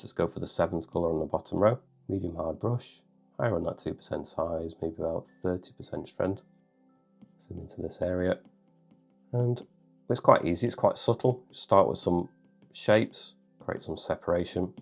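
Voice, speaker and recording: A man with a bunged-up cold voice, calmly talking through a drawing tutorial step by step. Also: sits low, gravelly. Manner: calm, reassuring, thinks aloud. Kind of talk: relaxed step-by-step tutorial narration.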